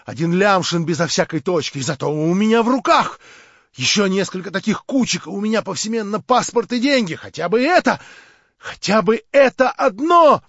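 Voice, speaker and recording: A man's voice: on the high side, expressive, moderate pace, husky, no echo. A man voicing a frantic young male conspirator who pleads breathlessly, half in a fever. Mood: feverish. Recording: dull lo-fi dry recording.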